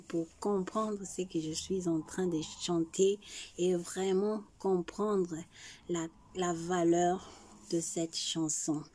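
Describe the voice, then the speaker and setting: A woman speaks slowly and softly in a warm, mellow voice, earnest and devout as she addresses her viewers. Her pitch sits on the lower side for a woman. A faint hiss of background noise lies under the recording. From Congo. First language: Tshiluba. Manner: soft, earnest, devout, often hushed. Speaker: vlogger. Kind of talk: personal chat before singing.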